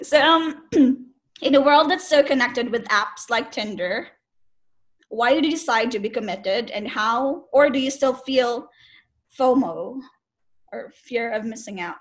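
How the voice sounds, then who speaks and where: Woman interviewer, casual, giggly, slightly high voice. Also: expressive, a little slow. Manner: laughs often, many "like" fillers. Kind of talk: casual chat.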